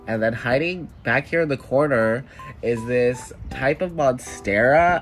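A young man in his twenties, congested and nasal from a cold, giving a chatty, upbeat tour of garden plants. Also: medium pitch. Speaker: plant vlogger. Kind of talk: casual plant tour voice-over. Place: indoor room with plants.

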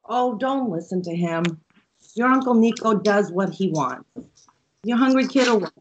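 A woman's voice: medium pitch, animated, normal speed, lo-fi sound, slight background noise, natural voice. An actress playing a fun, brash aunt in her forties in a screenplay table read. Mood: breezy.

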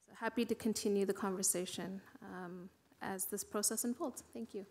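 A woman councillor asking a long, halting question in a council meeting, her voice a little worn. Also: medium pitch, steady tone, dark tone. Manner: measured, halting with fillers. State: losing her voice. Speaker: city councillor. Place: council chamber.

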